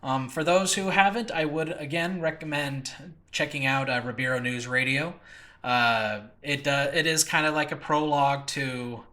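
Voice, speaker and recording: A man hosting a casual solo podcast, gushing excitedly to his listeners in a rambling, halting, self-interrupting way. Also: fairly high pitch. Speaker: host and creator of an audio drama channel.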